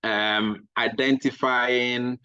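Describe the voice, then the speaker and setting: A slow-speaking man presents for his group in an online workshop. He is polite and halting, leaning on fillers. The call audio is dry and somewhat dull. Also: medium pitch, little pitch movement, pushed and bright, clear, resonant tone, dry recording. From Ghana. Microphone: video call.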